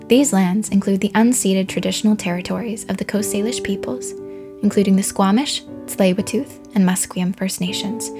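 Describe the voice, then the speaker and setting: Woman reading over guitar music. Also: mid-range voice. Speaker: production announcer. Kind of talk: scripted introduction. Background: plucked guitar music.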